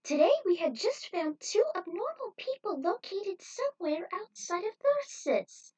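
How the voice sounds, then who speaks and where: A woman's voice: very high-pitched, sing-song, a little slow, darkish tone, voice pushed up, heavily acted. A woman voicing a showy cowboy host of a TV bounty show, with emphatic announcer delivery. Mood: showy, upbeat. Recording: voice distant from the mic.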